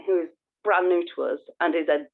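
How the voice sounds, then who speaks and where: An effusive podcast co-host in casual conversation, with a mid-pitched voice. The talk comes as thinking aloud, heard through thin, narrow-band call audio. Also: fairly even intonation, a little fast, vocal fry.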